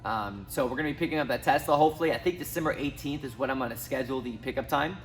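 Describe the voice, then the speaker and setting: A man's voice: mid-range voice, racing, soft background music. A man in his thirties from Southwest Missouri, talking casually to camera as a finance YouTuber, rambling with many ums and restarts. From Southwest Missouri, USA. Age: 30s. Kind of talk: casual talking-head vlog.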